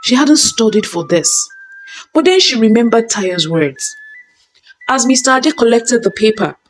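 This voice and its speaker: A woman narrating a scripted dramatic story in a measured, deliberate storytelling manner. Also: fairly high pitch, very animated, soft background music.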